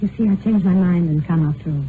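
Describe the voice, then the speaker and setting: An actress playing a proud, brittle young aristocratic wife, genteel and arch, in a period radio drama. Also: female voice, on the low side, quick pace, close to an everyday voice. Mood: anxious, tender. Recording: tinny old radio recording, some noise.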